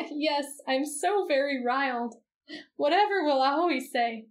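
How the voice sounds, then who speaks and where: A woman's voice: high voice, animated, slow. A woman voicing a fussy, protective teenage boy with a whiny, scolding manner in an anime roleplay. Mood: sarcastic. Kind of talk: anime fan roleplay audio.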